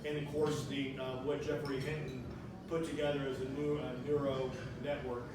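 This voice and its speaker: A man's voice: medium pitch, flat delivery, normal speed. A man giving a loose, conversational talk to a small audience, rambling and restarting his sentences often. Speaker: guest speaker. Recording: echoey room, distant mic, noise.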